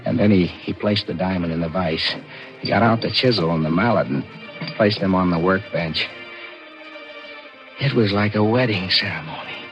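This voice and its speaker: A man's voice: low voice, normal speed, pauses often, faint music behind. An actor playing a ruthless, impatient killer who narrates his own crime in 1940s radio style. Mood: obsessed, intense. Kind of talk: 1940s radio acting, first-person narration. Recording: muffled vintage 1940s radio broadcast.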